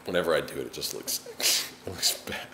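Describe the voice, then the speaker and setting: A man in his thirties talking casually to a handheld camera at arm's length, relaxed and rambling. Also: very deep voice, brisk, slight background noise. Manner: relaxed, rambling, self-deprecating. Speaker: vlogger. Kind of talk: casual vlog talk to camera. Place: porch and living room of a house, then dark room.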